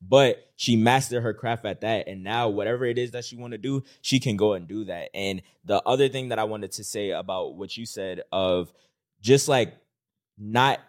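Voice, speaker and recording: Low-voiced man giving earnest advice. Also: moderate pace, dry recording, clean studio sound. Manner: earnest, encouraging, filler-heavy with "like". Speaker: podcast co-host. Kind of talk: casual podcast chat.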